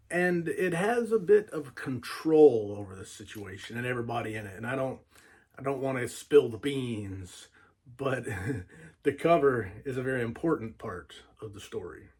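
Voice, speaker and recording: A man's voice: medium pitch, husky. A man giving a casual book review as a channel host, enthusiastic, with a laid-back, slangy way of talking.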